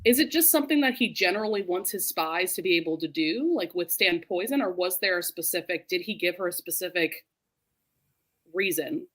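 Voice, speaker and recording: A woman hosting a book discussion in a casual, animated way, full of "like" and quick to laugh. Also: lowish voice. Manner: animated, laughs readily while talking; informal, heavy use of "like". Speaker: host of a book readalong live show. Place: remote group video call.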